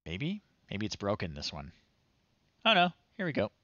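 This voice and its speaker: A middle-aged man giving casual, low-key live commentary as he plays retro games, often muttering to himself. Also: huge pitch swings, moderate pace, sharp tone, no echo, lo-fi sound. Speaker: livestream host playing retro games. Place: small room at home, indoors.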